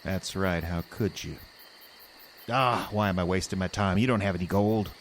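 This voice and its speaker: An actor playing a gruff, folksy, greedy hobo in an audio drama. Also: male voice, low-pitched, moderate pace, slight background noise, lightly acted. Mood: suspicious, dismissive. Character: gruff, sly, greedy hobo living among lake islands.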